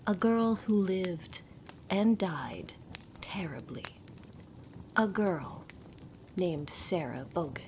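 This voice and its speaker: A woman narrating a scripted horror story as a storyteller, dramatic and deliberate, dropping at times toward a whisper. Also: fairly low pitch, expressive, background noise.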